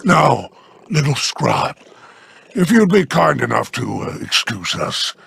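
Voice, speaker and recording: Gravelly alien boss, smug and sneering, drawls slowly, swoops up and down. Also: male voice, mid-range voice, very animated, a bit bright, slight background noise, exaggerated voice. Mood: condescending. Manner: mocking, silky condescension. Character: scheming male alien crime boss, smug and sly. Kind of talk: video game voice acting.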